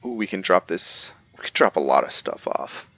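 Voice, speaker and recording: A man's voice: deep voice, expressive, normal speed, fairly bright tone, no room sound, muffled recording. A man giving casual let's-play commentary, thinking aloud and narrating his own moves as "we". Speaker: gameplay video creator.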